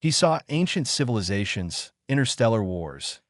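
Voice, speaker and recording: A man's voice: mid-range voice, sing-song, normal speed, no room sound, studio quality. A man reading a scripted science fiction story as a dramatic narrator.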